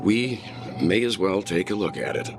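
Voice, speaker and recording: An actor playing a courteous, composed and serious male space traveler in a video game, polite and measured. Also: sits low, expressive, gravelly, over music.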